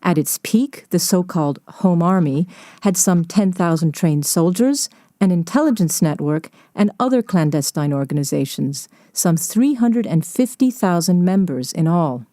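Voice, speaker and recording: Female documentary reporter, mellow and slightly low, scripted narration, dry close recording. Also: lively intonation, moderate pace, no echo.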